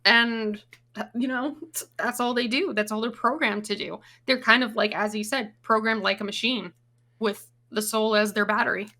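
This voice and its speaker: Bright-voiced woman explaining at length. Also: a little high, steady tone, moderate pace. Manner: explains at length, swears casually. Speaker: podcast guest. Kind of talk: casual podcast chat. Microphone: remote recording over the internet.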